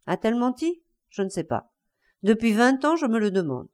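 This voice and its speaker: Woman acting out a frantic, enraged betrayed husband, high-pitched. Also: big pitch swings, moderate pace. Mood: tormented, demanding. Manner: breathless, insistent, vehement outbursts.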